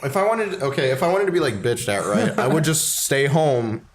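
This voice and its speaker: A man's voice: medium pitch, sing-song, normal speed, slight background noise. A man chatting casually and playfully with friends as he hosts a gaming playthrough. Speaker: host of a gaming show. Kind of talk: casual joking let's play commentary.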